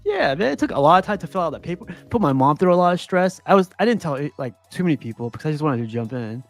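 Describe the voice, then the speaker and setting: A man's voice: on the high side, very animated, fast talker, dry recording, faint music behind. A man hosting a casual livestream chat, laid-back and rambling, laughing often. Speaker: livestream host.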